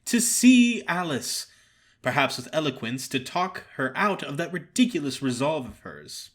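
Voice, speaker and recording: A man narrating a dramatized story as a solo performer, in a measured and wry manner. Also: fairly high pitch, sing-song.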